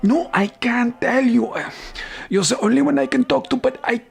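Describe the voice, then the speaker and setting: An actor playing an anxious, secretive boss in an audio drama, stammering and dropping into hushed tones. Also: male voice, very high-pitched, normal speed, gravelly, faint music behind, heavily acted. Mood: flustered. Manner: stammering, flustered, lapsing into hushed whispers. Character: anxious, secretive boss of a janitor, devoted husband. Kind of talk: theatrical audio drama phone monologue.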